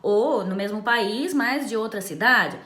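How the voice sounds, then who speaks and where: A woman's voice: medium pitch, moderate pace, sharp tone. A woman giving an informal bilingual language lesson as a teacher, often checking in with short tag questions. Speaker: English teacher. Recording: echoey, roomy sound.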